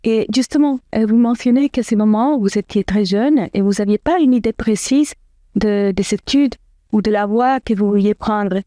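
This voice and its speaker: A woman hosting a podcast interview, asking earnest questions with some filler pauses. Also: medium pitch, mellow, no room sound, studio quality. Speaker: podcast host.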